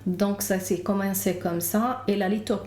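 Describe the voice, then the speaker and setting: A woman's voice: mid-range voice, fairly even intonation, normal speed, darkish tone, a little hiss or hum. A woman from Lebanon with a Lebanese accent, telling her story as a podcast guest and searching for her words. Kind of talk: casual interview, telling own story. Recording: roomy, echoing room.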